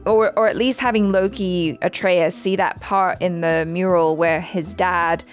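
A woman's voice: on the low side, steady tone, unhurried, soft background music. A woman hosting a reaction video in casual chat, informally thinking aloud as she talks.

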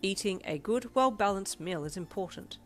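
A woman's voice: mid-range voice, lively intonation, normal speed, soft background music, voice pushed up, lightly acted. A woman voicing a stiff, formal young man with fussy, proper diction in a storybook reading. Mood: stern. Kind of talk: audiobook character voice.

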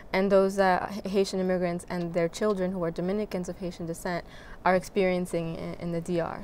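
A young woman speaks as an activist guest on a studio talk show. Her voice is fairly low and even, and she explains things steadily with a few fillers. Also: a little hiss or hum.

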